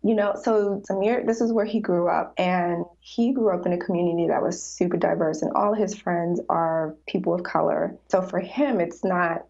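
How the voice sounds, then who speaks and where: A woman's voice: on the low side, steady tone, moderate pace, vocal fry, hardly any pauses, a little mellow. A woman guest on a podcast, chatting in a reflective way with many "you know" fillers. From United States. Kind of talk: casual interview chat. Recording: dull lo-fi sound.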